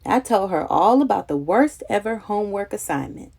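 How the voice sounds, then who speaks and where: A woman reading a children's picture book aloud in an expressive, dramatic, childlike storytelling manner. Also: mid-range voice, moderate pace, creaky.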